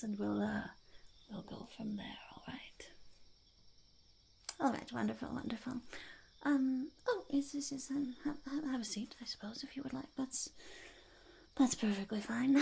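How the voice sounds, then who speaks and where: A woman's voice: a little high, creaky, darkish tone, a little hiss or hum. A woman voicing a fussy, impatient goblin boss in a whispered ASMR roleplay, muttering and repeating words. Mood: stern, impatient, then fussy, polite. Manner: speaks of self in third person, repeats words, mutters approval.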